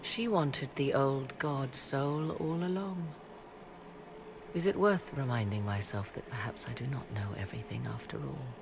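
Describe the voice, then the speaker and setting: A woman voicing an aloof, proud witch who speaks in a cool, measured, dryly ironic way. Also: very deep voice, animated, noisy background, full character voice.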